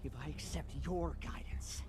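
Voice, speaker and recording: A man's voice: fairly high pitch, steady tone, moderate pace, background noise, natural voice. A man voicing a cocky young knight in a video game, sardonic and defiant, with a teasing, theatrical delivery. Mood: defiant, furious.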